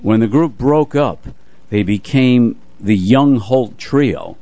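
An older man co-hosting a radio show, casually telling anecdotes about music in an unhurried way. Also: mid-range voice, warm tone, dry recording.

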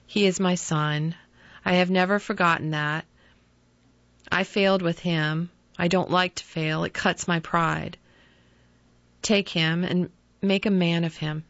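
A woman reading the part of a stern, proud older father who speaks gruffly in a shaken voice. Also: lowish voice, steady tone, measured pace, pauses often, a bit bright, no room sound. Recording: dull lo-fi dry recording.

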